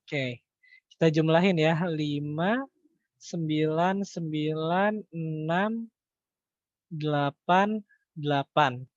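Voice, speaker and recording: A man with a slightly high voice tutors informally, working through calculations out loud. His delivery is halting, with figures mumbled as he checks with his listeners. The sound is roomy and a bit dull. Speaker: accounting tutor. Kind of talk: informal online lesson, thinking aloud through calculations. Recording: echoey room, dull lo-fi online-call audio.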